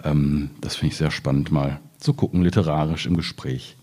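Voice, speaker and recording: A man's voice: extremely low pitch, steady tone, normal speed, dark tone. A man from the Ruhr area in Germany speaking as a poet in a literary discussion, hesitant and repeating words as he thinks.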